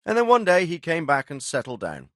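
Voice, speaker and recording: An actor playing a stern, dry-witted middle-aged medieval lord in a video game, speaking with formal, measured authority and a wry, sardonic edge. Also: male voice, a little high, wildly swooping pitch, no room sound. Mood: informative. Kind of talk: video game dialogue acting.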